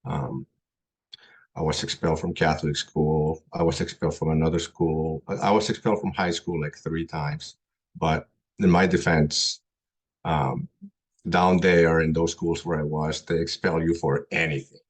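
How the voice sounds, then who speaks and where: A middle-aged man who grew up in Colombia tells his own story to a group, pausing and restarting often. His voice sits very low and is slightly husky. He speaks in a flat, even tone. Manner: halting, many ums and restarts. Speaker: guest speaker. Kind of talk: personal testimony talk.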